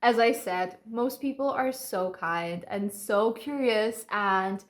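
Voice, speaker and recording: A woman's voice: mid-range voice, takes it slowly. A Polish woman in her twenties with a mixed, American-leaning English accent, telling stories to camera in a chatty way and often laughing. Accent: light mixed American-leaning English accent. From Poland. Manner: chatty and laughing, acts out quoted voices. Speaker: vlogger. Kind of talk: casual storytelling to camera.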